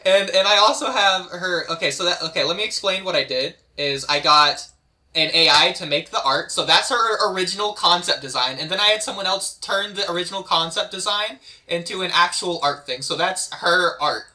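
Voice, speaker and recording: A man's voice: high voice, a little fast, sharp tone. A man hosting a casual online group chat, rambling and repeating words as he searches for what to say. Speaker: host and writer of an amateur script table read.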